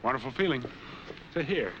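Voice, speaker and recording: An actor playing a guarded, edgy drifter in a 1940s crime film, speaking in a clipped, brusque way. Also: male voice, mid-range voice, lively intonation, fairly quick, close to an everyday voice. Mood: edgy, brisk. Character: edgy, evasive male drifter, guarded and brisk. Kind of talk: 1940s film noir acting. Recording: muffled old film soundtrack, faint noise.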